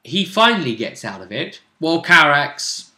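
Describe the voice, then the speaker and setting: Male game master, higher-pitched and lively, casual storytelling, roomy echo. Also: moderate pace. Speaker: game master recapping a role-play session. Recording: roomy, echoing home recording.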